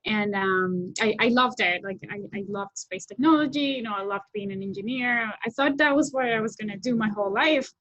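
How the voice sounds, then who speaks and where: A woman's voice: on the high side, a little fast, hardly any pauses, fairly bright tone, dry recording, lo-fi sound. A woman from Colombia in her thirties, speaking as a guest on a video call, eager and talkative with many restarts and fillers. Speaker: guest, technology scout. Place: home room with window, indoors. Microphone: laptop webcam mic on video call.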